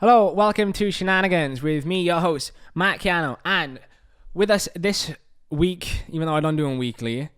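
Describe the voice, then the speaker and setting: A man's voice: on the high side, moderate pace, hardly any pauses, a bit bright, clean tone. A young man hosting a casual music podcast, chatty and joking, laughing a lot as he talks.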